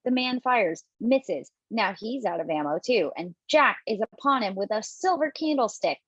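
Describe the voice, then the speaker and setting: A woman's voice: a little high, animated, normal speed, fairly bright tone, husky, no room sound. A woman reading stage directions in scripted narration at a table read, now and then stumbling over words. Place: remote online table read. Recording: dull, dry lo-fi call audio.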